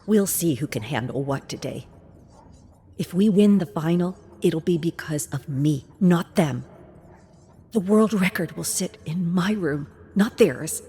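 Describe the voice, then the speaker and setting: A woman's voice: on the low side, expressive, measured pace, mellow. A woman voicing a driven, bitter young competitive gamer in a tense inward monologue from video game lore. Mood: resentful, defiant.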